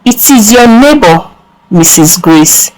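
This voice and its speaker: A solemn old man of the village, a seer in a folktale, voiced by a woman storyteller. He makes grave pronouncements very slowly, at a medium pitch. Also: expressive, darkish tone, poor audio.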